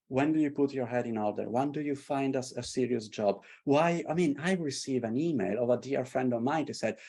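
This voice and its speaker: A middle-aged man from Italy with a strong Italian accent, telling stories with passion in a casual conversation. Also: on the high side. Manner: passionate, animated storytelling. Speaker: artist and tarot creator. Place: London.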